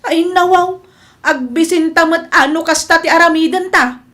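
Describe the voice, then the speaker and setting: A woman's voice: very high voice, moderate pace, fairly bright tone. A woman with an Ilocano Filipino accent playing an evasive, complaining village wife and farmhand in a comedy radio drama.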